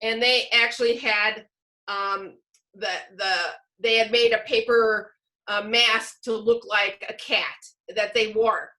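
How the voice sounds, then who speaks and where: A woman presenting an online teacher training, speaking loudly and encouragingly as she explains and answers questions informally. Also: on the high side, flat delivery, takes it slowly, poor audio. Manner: loud, projected, encouraging. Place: online video call.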